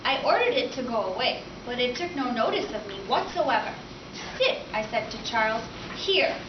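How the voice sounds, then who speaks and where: A woman's voice: on the high side, very animated, moderate pace. A woman voicing a snobbish, bossy middle-aged mother in a storybook read-aloud, with a haughty, scolding way of talking. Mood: bossy, anxious. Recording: echoey room from far, noisy, dull.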